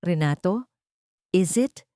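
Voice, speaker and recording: A woman's voice: lowish voice, lively intonation, slow, dark tone, dry recording, clean studio sound. A woman voicing a poised, mocking female executive with a cold, cutting manner in an audio drama. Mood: taunting.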